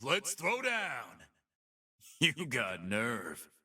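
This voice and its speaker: An actor playing a brash, swaggering video game brawler who adores his mother, gruff and raspy, taunting in tough-guy slang. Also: medium pitch, wildly swooping pitch, drawn-out words, recorded from a distance, a crowd in the background, exaggerated voice. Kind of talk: punchy fighting game voice lines.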